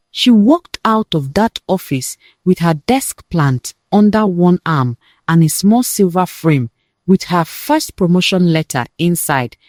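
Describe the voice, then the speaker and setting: A woman narrating a scripted dramatic family tale as a storyteller, in deliberate, measured phrases with pauses for weight. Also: lowish voice, a little mellow, dry recording, studio quality.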